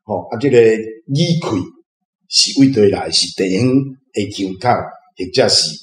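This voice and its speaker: A man with a Taiwanese accent puts a question formally, in short halting phrases. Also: on the low side. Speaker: reading club member asking a question. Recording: echoey room with guitar music.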